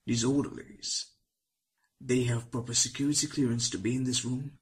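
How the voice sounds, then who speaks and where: An actor playing a stern, controlling senior reviewer with a raspy, breathy voice in a horror audio drama. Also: male voice, mid-range voice, fairly even intonation, moderate pace. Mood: stern, commanding.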